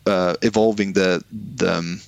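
A man's voice: low voice, steady tone, unhurried, vocal fry, no echo. A man speaking as a business guest in a casual interview, often restarting and repeating words as he thinks. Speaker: guest, online business founder.

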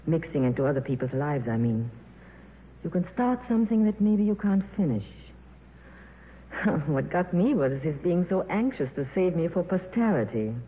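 An actress playing a worldly, soft-hearted film star in a 1950s radio drama, with a wry, breezy, clipped manner. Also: female voice, lowish voice, very animated, normal speed. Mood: wistful. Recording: tinny, noisy old radio broadcast.

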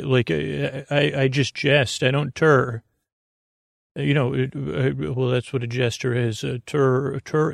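A man with a noticeably creaky, mid-low voice hosts a bedtime podcast, recorded very dry. He meanders through an improvised monologue, restarting and drifting into tangents. His phrasing is whimsical and gently silly. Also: no echo. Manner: meandering, halting, repeats and trails off into tangents. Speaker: host of a bedtime sleep podcast.

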